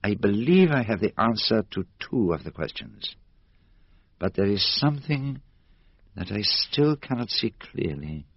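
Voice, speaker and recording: Radio drama: shrewd middle-aged male detective, Belgian French accent, formal and deliberate, animated intonation, dry muffled recording. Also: lowish voice, husky, no room sound, full character voice. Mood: brooding. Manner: deliberate, formal, precise phrasing.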